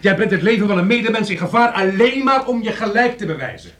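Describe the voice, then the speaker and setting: A man's voice: high voice, lively intonation, fast talker, a bit bright. An actor in a radio play playing an indignant, sceptical man who blusters and scolds his reckless friend. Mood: indignant, scolding.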